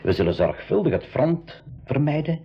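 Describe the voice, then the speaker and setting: Explorer uncle, husky voice, reassuring, instructive, 1980s school radio drama, muffled sound. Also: male voice, a little high, big pitch swings, moderate pace, full character voice. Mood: earnest, reassuring. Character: adventurous, reassuring uncle piloting his own flying amphibious craft. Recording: dull 1980s radio recording.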